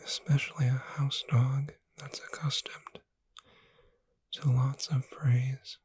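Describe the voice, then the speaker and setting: A woman whispering in a soothing, deliberate ASMR style, reading aloud to lull a listener to sleep. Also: a bass voice, level tone, darkish tone, breathy edge, no echo. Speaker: ASMR performer.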